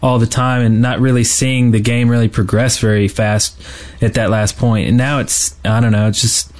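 A man's voice: mid-range voice, little pitch movement, normal speed. A man co-hosting a casual gaming podcast, talking in a hesitant, rambling way with trailing thoughts. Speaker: podcast co-host.

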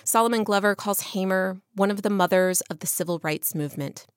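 A woman's voice: medium pitch, fairly quick, clear, resonant tone, dry recording, clean studio sound. A woman reporter reading a scripted radio news feature.

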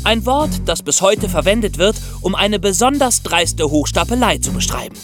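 A man's voice: high-pitched, big pitch swings, moderate pace, loud music behind the voice. A man co-hosting a podcast, narrating a historical crime story in scripted style. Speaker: podcast co-host.

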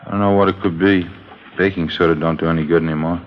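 A man's voice: low-pitched, steady tone, fast talker, a little hiss or hum, lightly acted. An actor playing a sour, complaining American henchman in a 1950s radio crime drama, glum and terse. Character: sour, complaining male henchman with a sore stomach. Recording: dull 1950s radio recording.

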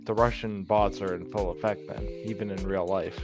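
Low-key male producer, deep voice. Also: fairly even intonation, moderate pace, music in the background. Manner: laid-back, low-key replies. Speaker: podcast producer. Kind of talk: casual chat.

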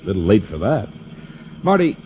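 A skeptical but easygoing American guy from an old radio mystery, with a lowish husky voice that swings up and down. The recording is tinny and narrow, like an old broadcast. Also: very animated, brisk, slight background noise. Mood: reasoning, skeptical. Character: skeptical, easygoing male companion of a lady attorney. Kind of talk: 1950s radio drama acting.

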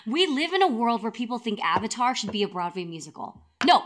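An animated, exasperated woman writer. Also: on the high side, fast talker. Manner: emphatic, exasperated, conversational. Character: exasperated, broke female musical writer, anxious but bossy. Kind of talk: naturalistic comic audio drama dialogue.

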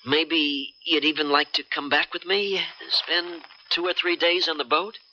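An actor playing a wary, daring boatman with a guarded, halting delivery in 1970s radio drama style. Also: on the high side, fairly even intonation, pushed and bright. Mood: fond, purposeful. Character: wary, daring boatman, fond lover, leading a mission. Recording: dull, noisy old radio broadcast.

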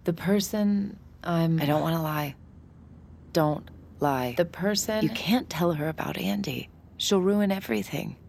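Guarded young woman, low creaky voice. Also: a little slow, darkish tone, slight background noise. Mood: anxious. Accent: American. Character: guarded, anxious, stubborn younger woman hiding secrets. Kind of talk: naturalistic audio drama acting.

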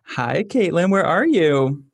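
A podcast host speaks in a casual interview style. The voice sits at a middle pitch, recorded dry with no room sound. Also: big pitch swings, takes it slowly, creaky, dry recording.

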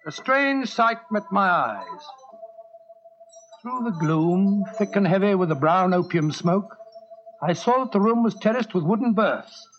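An earnest, upright doctor tells the story in a dramatic, measured way. His voice sits high for a man, and the recording is dull, like an old radio broadcast. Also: animated, fairly bright tone, soft background music. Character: earnest male doctor narrator, upright and indignant. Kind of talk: 1940s radio acting, first-person narration.

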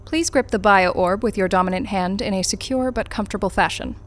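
A woman acts out a corporate interrogator for an audio drama. She is formal, brisk and probing, with a dry sardonic edge to her polite questions. Her voice sits at a middling pitch for a woman. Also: close to an everyday voice.

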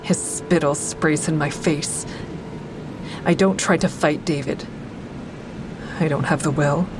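An actress playing a bitter, grieving mother who is cold and unforgiving toward her ex-husband, in an audio drama with intense, deliberate delivery. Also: sits low, fairly even intonation, over music. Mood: somber narrating. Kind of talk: full-cast audio drama, first-person narration and dialogue. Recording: clearly noisy outdoor traffic ambience.

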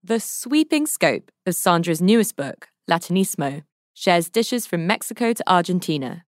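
A woman's voice: on the low side, very animated, moderate pace, no echo, clean studio sound. A woman hosting a podcast, reading scripted introductions and narration. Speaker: podcast host.

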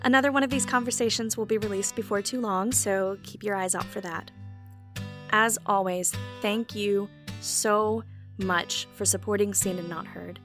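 A woman hosting a podcast, talking casually in an animated way with frequent restarts and exasperated asides. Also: mid-range voice, normal speed, soft background music. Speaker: podcast host. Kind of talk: casual conversation.

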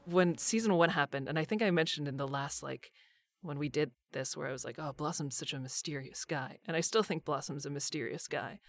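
A woman's voice: low-pitched, a little fast, no echo. A woman who works as a voice actor, chatting casually in an informal, slangy way. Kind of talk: casual chat.

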